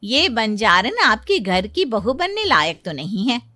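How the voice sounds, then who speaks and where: A woman's voice: a little high, sing-song, measured pace, sharp tone, exaggerated voice. A woman voicing a snobbish, disapproving society woman in a cartoon story, with a condescending manner. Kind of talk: Hindi cartoon story dialogue.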